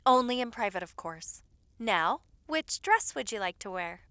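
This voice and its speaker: A woman playing a caring, loyal lady's maid in an audio roleplay, attentive and gently scolding with polite familiarity. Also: medium pitch, big pitch swings, unhurried, dry recording, exaggerated voice. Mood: fond, deferential.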